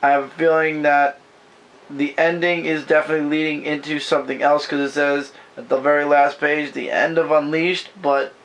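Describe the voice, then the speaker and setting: A man losing his voice and sounding hoarse, chatting loosely about comics from behind the camera in a bedroom. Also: on the high side, little pitch movement, normal speed, fairly bright tone, low-quality recording. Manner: rambling, loose and self-correcting. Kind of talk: casual comic book review chat. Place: bedroom at home. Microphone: camera mic, speaking from behind the camera.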